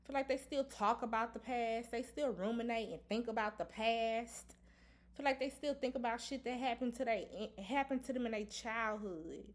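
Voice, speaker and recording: A woman's voice: on the high side, moderate pace. Blunt, emphatic woman reading tarot. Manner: blunt, repetitive, emphatic, swears casually. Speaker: tarot reader. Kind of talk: casual tarot reading to camera. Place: indoor room at a table.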